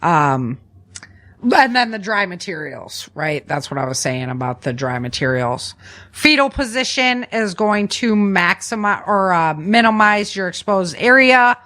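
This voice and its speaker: A woman podcast host with a mid-pitched voice talks through practical survival advice. She sounds conversational and informal, working from notes while instructing the listener, and often seeks agreement as she goes. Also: very animated, measured pace, bright, forceful tone.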